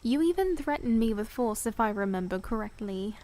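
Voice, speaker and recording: A woman's voice: on the high side, lively intonation, fairly quick, mellow, close to an everyday voice. A woman playing a loving, proud princess of nearly twenty in a fantasy audio drama, with formal old-world diction. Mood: haughty, reproving. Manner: formal, archaic storybook diction.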